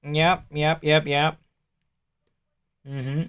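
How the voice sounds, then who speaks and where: A man's voice: fairly high pitch, level tone, very slow, a bit bright, clean tone, no echo. A young man hosting a live stream, chatting casually and sarcastically while reading viewer comments. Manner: sarcastic, offhand, swears and laughs often.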